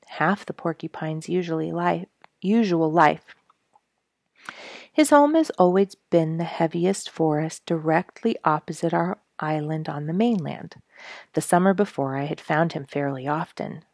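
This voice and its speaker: Female narrator for family audiobook, low register with gravelly edges, gentle and earnest storytelling. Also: no room sound, studio quality. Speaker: storyteller reading a book aloud.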